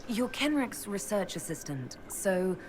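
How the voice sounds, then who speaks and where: A woman playing a composed, diplomatic leader in a video game, measured and inquiring. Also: medium pitch, very animated, long reverb tail, noisy background. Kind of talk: video game dialogue acting.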